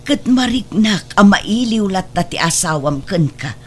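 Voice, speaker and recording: A woman's voice: medium pitch, expressive, measured pace, slight background noise, natural voice. A woman playing a doting, forgiving older mother and grandmother in an audio drama, speaking in a reassuring, motherly way. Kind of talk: melodramatic audio drama acting.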